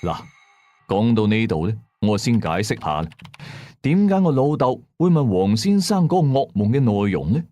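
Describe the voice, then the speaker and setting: A man's voice: medium pitch, big pitch swings, moderate pace, mellow, no room sound. A man with a Hong Kong accent narrating a scripted ghost story in a colloquial, dramatic and suspenseful way.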